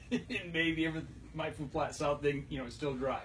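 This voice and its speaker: A man's voice: a little high, moderate pace, a bit bright. A man hosting a casual hunting talk show in a folksy, conversational way. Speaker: podcast host. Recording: distant mic, noticeable background noise.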